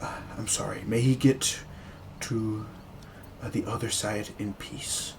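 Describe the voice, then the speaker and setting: A nervous old priest in a tabletop game, talking in a hushed, stammering voice, as the game master puts him on. His voice drops low and quiet, with an air of grim secrecy. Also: fairly even intonation, moderate pace, noisy background, natural voice. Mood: solemn. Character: nervous older male village priest.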